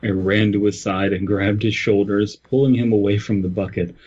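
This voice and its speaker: A man's voice: fairly low pitch, fairly even intonation, normal speed, darkish tone. A man co-hosting a comedy podcast, casually joking and reading a story, on a dull lo-fi microphone. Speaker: podcast co-host.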